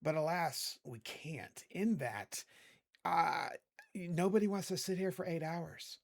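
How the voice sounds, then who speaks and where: Hoarse middle-aged man, chatty tutorial host, rambling, somewhat high voice. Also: slow. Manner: chatty, rambling, self-correcting. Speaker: video editing tutorial host. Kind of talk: casual screen-recorded tutorial.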